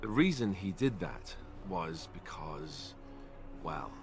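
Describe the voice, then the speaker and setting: A man's voice: deep voice, sing-song, slow, over music, full character voice. An actor playing a wry, guarded young male warrior in a video game, speaking hesitantly and awkwardly. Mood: reluctant. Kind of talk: game voice acting.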